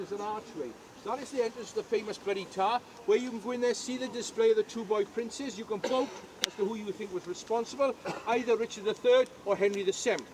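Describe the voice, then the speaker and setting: High-voiced Welsh tour guide outdoors. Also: male voice, background noise. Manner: jokey, chatty, asks the crowd questions. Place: outdoors at a historic castle.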